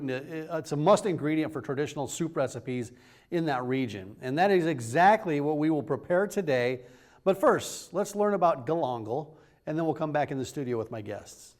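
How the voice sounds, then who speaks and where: A man's voice: fairly high pitch, a little mellow. A man hosting a cooking show, moving from scripted narration into a chatty, upbeat interview with guests. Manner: chatty, upbeat, rambling restarts.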